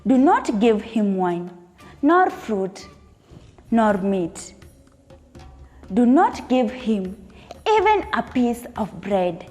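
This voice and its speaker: A woman storyteller voicing a stern, commanding king who speaks in a measured, imperious way. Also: fairly high pitch, sing-song, darkish tone, full character voice. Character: stern commanding male king, teasing and angry. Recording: long hall reverb, music behind.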